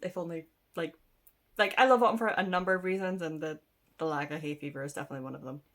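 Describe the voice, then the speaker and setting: A woman rambles casually at home, sniffling through a mild cold. Her voice sits fairly low, and she chats in a self-deprecating way, trailing off into ums and little laughs. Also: steady tone, fast, a bit bright. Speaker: book vlogger. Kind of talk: casual rambling chat to camera. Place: indoors at home.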